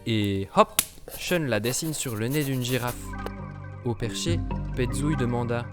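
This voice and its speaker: A man reads a children's cartoon story aloud with lively, rhythmic expression and a middling pitch. Bouncy children's music plays clearly underneath him throughout. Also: a little slow.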